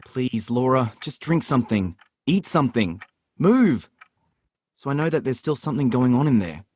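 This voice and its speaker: An actor in a radio play playing a protective, exasperated young big brother with a blunt, earnest manner. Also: male voice, mid-range voice, animated, normal speed, a little mellow, breathy edge, no room sound. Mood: worried pleading.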